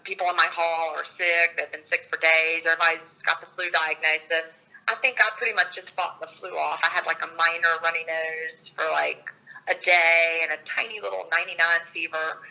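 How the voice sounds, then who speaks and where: A woman's voice: low-pitched, gravelly, bright, forceful tone. Upbeat woman, slightly scratchy voice. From Georgia, USA. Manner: upbeat and enthusiastic, laughs often. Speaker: author and guest. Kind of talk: interview over a call.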